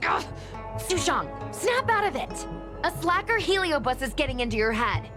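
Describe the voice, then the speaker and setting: A woman's voice: high voice, sing-song, normal speed. A woman voicing an exasperated, scolding young female character in a game. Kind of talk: scripted game voice acting. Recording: clear background music under the voice.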